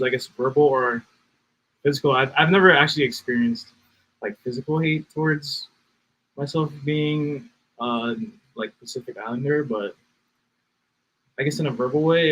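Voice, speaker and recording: A man's voice: medium pitch, fairly bright tone, rough recording. Young guy from oahu on a podcast, lots of ums and i guess. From Oahu, Hawaii. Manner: hesitant, many fillers and hedges. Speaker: podcast guest. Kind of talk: casual conversation.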